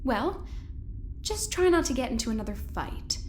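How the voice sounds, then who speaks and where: A woman's voice: high-pitched, very animated, moderate pace, darkish tone, a little hiss or hum. A woman playing a cordial, probing doctor and visiting scientist in an audio drama, polite and chatty with a pointed edge. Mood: friendly, probing.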